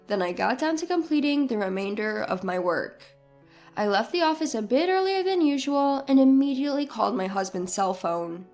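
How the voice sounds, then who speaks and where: A woman reading a scripted first-person story as its narrator. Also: a little high. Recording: music behind the voice. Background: background music.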